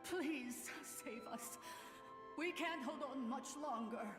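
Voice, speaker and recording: An actress playing the earnest ghost of a besieged soldier in a video game, grim and pleading through reverb. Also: female voice, high voice, over music. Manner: earnest, slow. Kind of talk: video game character acting. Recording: echoey long hall reverb.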